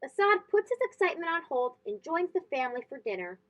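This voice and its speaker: A woman reading a children's storybook aloud as host, expressive and phrased for young listeners. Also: high-pitched, fast.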